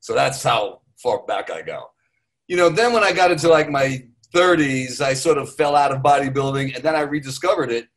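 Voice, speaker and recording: An older man chats as a guest on a podcast, talking loosely with lots of pauses, fillers and restarts. He speaks at an ordinary, middle pitch, and his voice comes through a computer microphone on a remote call. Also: expressive. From United States. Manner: rambling, hesitant with many fillers and restarts. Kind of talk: casual podcast chat. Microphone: computer mic on a remote call.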